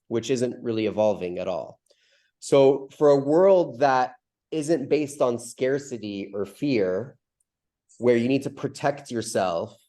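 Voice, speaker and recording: A man with a cold, his voice stuffy and mellow, talks through big ideas on a video call. He rambles loosely, doubles back on himself and keeps checking in with "right?". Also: mid-range voice. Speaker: community call host. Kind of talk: casual explanatory talk on a video call.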